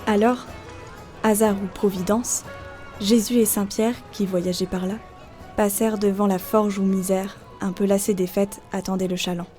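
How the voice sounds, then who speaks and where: A woman's voice: mid-range voice, fairly even intonation, moderate pace, mellow. A woman narrating a folk tale as a scripted audio story. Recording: faint music behind. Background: faint music.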